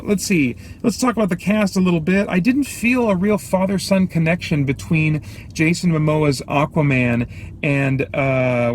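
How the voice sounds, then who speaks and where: A man giving a casual, off-the-cuff film review, thinking aloud in a halting, measured way. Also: medium pitch, a little hiss or hum. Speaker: movie review host.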